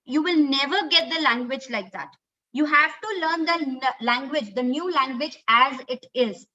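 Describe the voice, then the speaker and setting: Bright-voiced Indian English woman lecturing. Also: sits high, normal speed. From Karnataka, India. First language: Kannada. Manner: earnest, instructive, many fillers like "uh" and "okay". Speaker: webinar speaker on communication skills. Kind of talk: online lecture. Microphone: computer mic on a video call. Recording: dull lo-fi webinar audio.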